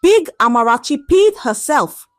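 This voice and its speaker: A woman voicing a sneering schoolboy bully, raised pitch. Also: very animated, moderate pace, no echo, clean studio sound, soft background music. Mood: mocking taunts. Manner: mocking, taunting.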